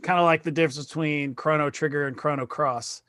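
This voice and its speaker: A man joins a casual podcast chat, easygoing and friendly. His voice sits a little high and sounds dry and close to the mic. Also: fairly even intonation, brisk, a bit bright, dry recording.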